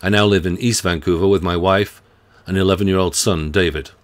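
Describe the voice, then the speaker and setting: A middle-aged man, a university professor reading a measured, earnest self-introduction to students from his home study. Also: deep voice, fairly even intonation. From United Kingdom. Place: home study lined with bookshelves.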